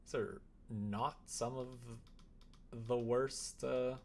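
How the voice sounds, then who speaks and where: A man's voice: medium pitch, steady tone, extremely slow, creaky, darkish tone, slight background noise. A man streaming live, chatting casually with viewers and rambling as he thinks aloud.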